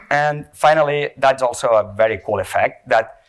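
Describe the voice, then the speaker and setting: A man's voice: medium pitch, unhurried, a bit bright. A man from Spain with a Spanish accent, giving a conference talk and often tagging his points with "okay?" and "you know". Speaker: conference speaker, company CEO. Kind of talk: conference presentation. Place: conference stage before an audience.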